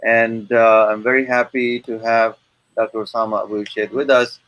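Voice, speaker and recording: A man hosting an online webinar and introducing guests, speaking in a hesitant, halting way with repeated words. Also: medium pitch, level tone, fairly bright tone, no echo, highs cut off. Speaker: webinar host.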